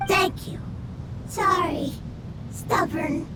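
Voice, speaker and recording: A woman voicing a tiny, childlike fairy companion in an anime game, squeaky, excitable and doubtful. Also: extremely slow, very noisy, full character voice.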